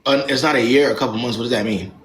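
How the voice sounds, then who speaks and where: A man's voice: mid-range voice, very fast, reverberant. A young man hosting a live stream who gives callers blunt, slangy relationship advice in a lecturing way.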